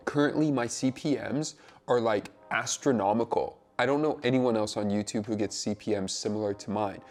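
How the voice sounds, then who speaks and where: A man's voice: on the low side, a little mellow. A man vlogging to camera at home, talking in an upbeat, emphatic way and sounding slightly congested from a cold. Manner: upbeat, chatty, emphatic with frequent "literally". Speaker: YouTube creator and web developer. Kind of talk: casual vlog talk to camera. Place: two-bedroom home in California, filming on the floor.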